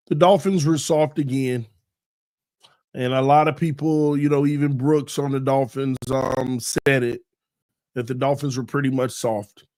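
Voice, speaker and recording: A man's voice: mid-range voice, darkish tone, no echo, clean studio sound. A man hosting a solo live sports show in a sing-song, hyped morning delivery, saying he is losing his voice.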